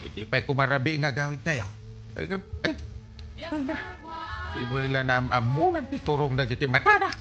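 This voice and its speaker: A man plays an excitable village man in an Ilocano radio comedy. His mid-pitched voice swoops dramatically as he teases and exclaims. Music plays clearly behind him. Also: moderate pace, muffled recording, close to an everyday voice. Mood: exasperated. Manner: exclamatory, bursts of cackling laughter.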